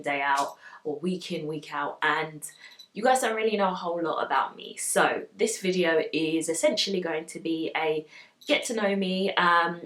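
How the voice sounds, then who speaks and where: A woman's voice: deep voice, fairly bright tone. A young woman from Uganda, raised in the UK, talking casually and candidly to camera in a chatty vlog, with lots of ums. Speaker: style vlogger. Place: room at home lit by studio lights. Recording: roomy, slightly distant mic.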